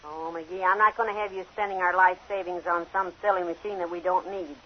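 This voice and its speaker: An actress playing a practical, long-suffering wife with a dry, teasing wit in an old-time radio comedy. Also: female voice, fairly low pitch, fairly even intonation, fairly quick, close to an everyday voice. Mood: exasperated. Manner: dryly sarcastic, long-suffering. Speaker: comic partner in a radio sitcom. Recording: dull vintage radio recording.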